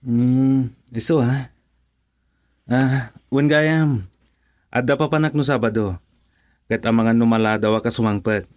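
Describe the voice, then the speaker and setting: A man acting as a patient young husband and father in a radio drama. He speaks in an Ilocano accent at a middling pitch, with an easygoing manner. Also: a little slow, long pauses, a little mellow, close to an everyday voice. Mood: calm, reassuring.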